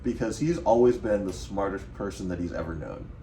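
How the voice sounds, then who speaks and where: An American man with a low voice chats casually as a co-host. He sounds a little distant in an echoey living room, with faint noise in the recording. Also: brisk, mellow. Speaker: co-host of a TV discussion show. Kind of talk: casual chat. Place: living room, on a couch at home.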